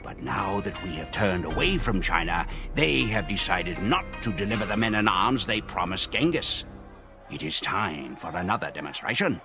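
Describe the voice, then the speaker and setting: Grim Mongol warrior chronicler: lowish, gravelly, solemn measured game narration. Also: male voice, animated. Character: grim male Mongol warrior recounting the campaign. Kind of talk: scripted dramatic narration. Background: music.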